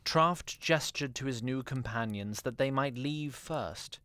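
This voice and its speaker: A man narrating a scripted fantasy audio drama in a measured storytelling manner. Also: medium pitch, a bit bright, no room sound.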